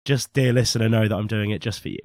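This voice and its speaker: A young man co-hosting a casual podcast, a little husky from a cold, opinionated and teasing. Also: on the low side, fairly even intonation, quick pace, darkish tone, dry recording, studio quality. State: recovering from a cold, slightly husky. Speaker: podcast co-host. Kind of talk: casual chat.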